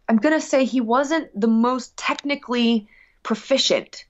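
A woman's voice: a little high, slow pace. Chatty woman on a dull, lo-fi recording. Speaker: musician guest. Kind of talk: casual interview chat.

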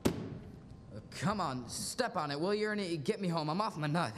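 Young man playing an agitated, animated family man. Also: fairly high pitch, normal speed, noisy background, close to an everyday voice. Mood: frantic. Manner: agitated, stammering. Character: frantic, bewildered family man losing his grip. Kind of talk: live radio play reading. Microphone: stand microphone on stage. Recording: reverberant school auditorium stage.

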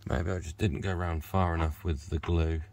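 A British man in his forties with a deep voice talks casually through a hands-on job. He is low-key and half talks to himself, recorded on a handheld phone with a little ambient noise behind him. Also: steady tone, moderate pace, a little mellow. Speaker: vlogger demonstrating a repair. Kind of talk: casual running commentary on a DIY job. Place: covered outdoor patio by a hot tub. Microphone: handheld phone camera mic.

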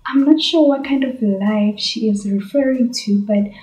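A woman's voice: on the high side, unhurried, a little mellow. Earnest South African woman vlogging, roomy echo. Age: 20s. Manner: earnest, chatty, addresses viewers as guys. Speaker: YouTuber. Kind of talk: casual vlog Q&A. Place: bedroom, indoors. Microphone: camera on tripod in front. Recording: echoey bedroom.

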